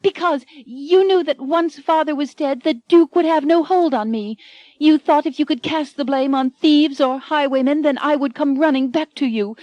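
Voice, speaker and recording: A woman voicing a headstrong young heroine, shrill and melodramatic. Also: moderate pace, a little mellow, no echo, lo-fi sound, lightly acted. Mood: indignant.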